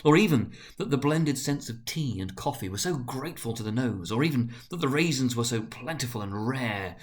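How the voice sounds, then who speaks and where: A man reading classic prose aloud as a storyteller, in an expressive, deliberate, dramatic narrating manner. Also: mid-range voice. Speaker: narrator, reader.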